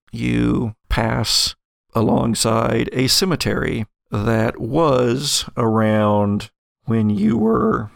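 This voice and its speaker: An American man improvising descriptive narration as game master of a tabletop story, in a halting delivery. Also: mid-range voice, vocal fry, no echo, studio quality. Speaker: game master of an actual-play audio drama.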